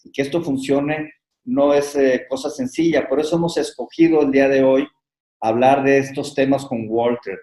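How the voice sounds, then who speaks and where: Host in an echoey room. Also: male voice, mid-range voice, steady tone, dark tone. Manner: measured, listing points with pauses. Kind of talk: interview introduction.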